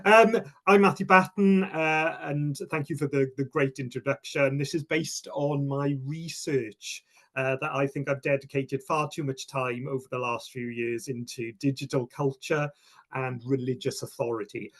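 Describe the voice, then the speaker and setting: Guy presenting research online, earnest, lots of ums and restarts, mid pitch. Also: steady tone, normal speed, a bit bright. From Wales. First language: Welsh. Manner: earnest, many fillers and restarts.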